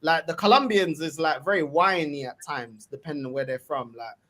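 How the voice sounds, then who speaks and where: A man's voice: on the high side, a little fast, sharp tone. A man, chatting casually on a call-in and joking around. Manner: jokey, mimics other accents. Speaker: caller. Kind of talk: casual group chat.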